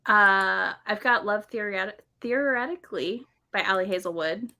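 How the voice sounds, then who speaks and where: A woman chatting casually about books in an animated, conversational way. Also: medium pitch, a little slow. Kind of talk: casual book chat.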